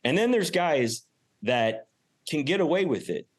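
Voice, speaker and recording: A man's voice: fairly high pitch, big pitch swings, unhurried. A man speaking as an interview guest in casual, emphatic, informal talk about his own experience. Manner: emphatic, informal, mild swearing. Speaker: podcast guest, real estate investor and business owner.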